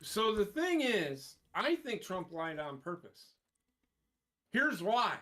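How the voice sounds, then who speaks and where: A blunt, profane man holding forth on a casual podcast. His pitch swings up and down as he rants. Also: sing-song, takes it slowly, pushed and bright. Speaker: podcast co-host. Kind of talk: casual opinionated chat.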